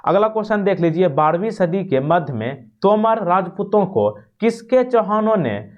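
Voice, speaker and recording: Higher-pitched man teaching, dull lo-fi sound. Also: big pitch swings, normal speed. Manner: explanatory, repeats and rephrases each question, checking tags. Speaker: exam-prep teacher. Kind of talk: quiz lesson, reading questions and giving answers.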